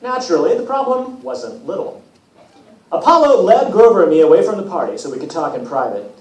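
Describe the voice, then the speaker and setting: A man reading aloud as a wry, put-upon teenage boy demigod, telling his own story with self-deprecating, deadpan humour. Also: high voice, a little fast. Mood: uneasy. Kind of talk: first-person storybook reading. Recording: echoey room from far off, hissy noise.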